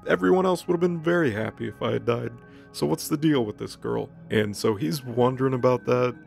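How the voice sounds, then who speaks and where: Male comedic storyteller, mid-pitched and gravelly with fry, playful casual recap, music bed. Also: brisk, darkish tone.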